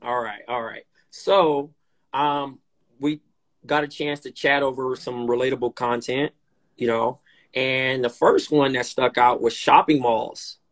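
A man in his thirties hosts a casual chat from a dim room, speaking into a phone on a live stream. His delivery is relaxed and loose, full of small restarts and repeated words. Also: medium pitch, unhurried. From Compton, California. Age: 30s. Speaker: podcast host. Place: dim room indoors, on a live video call. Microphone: phone camera, split-screen live stream.

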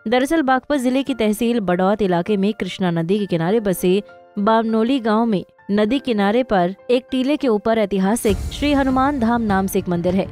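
A woman's voice: medium pitch, a little mellow. A woman reading a scripted news report as the narrator. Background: music.

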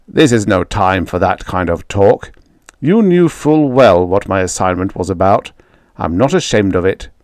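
Man acting a stern, domineering, low-voiced father. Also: animated, a little slow, no room sound, clean studio sound. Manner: harsh, forceful, impatient. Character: stern, angry, domineering father and robot maker.

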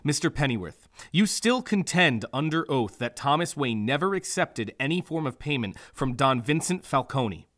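A man's voice: mid-range voice, sing-song, normal speed, few pauses, a bit bright. A man playing an insistent prosecutor in a courtroom audio drama, emphatic and interrogating. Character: insistent, pressing male prosecutor.

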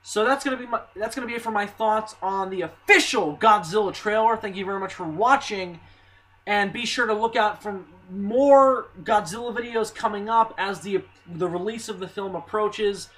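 A hoarse young man with a slight cold, talking casually and excitedly about a movie trailer, with lots of ums and restarts. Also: high voice, normal speed, poor audio. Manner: excitable, rambling, swearing, many ums and restarts. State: hoarse, a little sick. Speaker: movie news vlogger. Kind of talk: casual spoken movie commentary.

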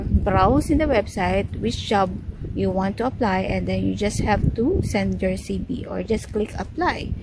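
Chatty woman vlogging outdoors on a phone. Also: mid-range voice. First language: Filipino. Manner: chatty, keeps saying guys, plain non-native phrasing. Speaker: travel vlogger. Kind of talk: casual vlog chat to camera. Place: outdoors in a city park. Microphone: phone camera at arm's length, no headset. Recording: outdoor phone audio with steady background noise.

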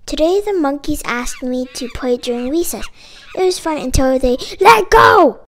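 High-voiced woman reading a children's story. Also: moderate pace, a little hiss or hum. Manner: expressive, emphatic delivery with dramatic exclamations. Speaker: storyteller reading a picture book aloud with puppets. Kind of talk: animated children's read-aloud.

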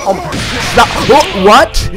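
A man giving excited, slangy video game commentary as a let's play host, exclaiming and repeating his phrases. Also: high voice, slow. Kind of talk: excited gameplay commentary with dramatic reading. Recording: game music behind the voice. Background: fighting game music.

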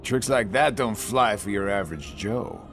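An actor playing a smug middle-aged villain in a video game, with a mocking, sarcastic drawl. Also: male voice, fairly low pitch, expressive, moderate pace, faint music behind. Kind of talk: video game cutscene acting.

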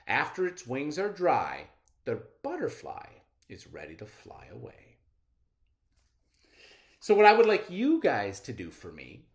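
A middle-aged man reading aloud and explaining simply to children as a schoolteacher, with deliberate enunciation. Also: medium pitch. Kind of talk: children's read-aloud lesson.

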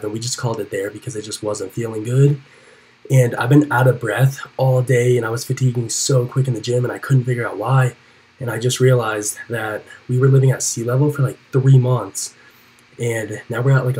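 A man's voice: mid-range voice, flat delivery, brisk, a little mellow, slightly rough, rough recording. A young man touring his house and talking about his workouts in a casual vlog, rambling with lots of "like.". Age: young adult. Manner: laid-back, rambling with many "like" fillers. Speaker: fitness vlogger. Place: house and car, handheld. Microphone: handheld camera, later a voice-over mic.

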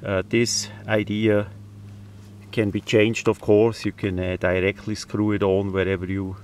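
Non-native English-speaking man, lowish voice, unhurried and halting, informal DIY demo with faint outdoor noise. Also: little pitch movement, darkish tone. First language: not English. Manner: halting, pauses often mid-phrase. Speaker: presenter of a DIY radio antenna video. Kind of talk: casual hands-on demonstration talk. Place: outdoors in windy weather.

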